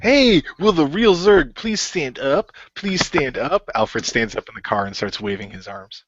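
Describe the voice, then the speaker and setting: Playful, lively man in a radio play. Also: medium pitch, measured pace, noisy background. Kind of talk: casual improvised role-play and narration. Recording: dry, dull lo-fi microphone.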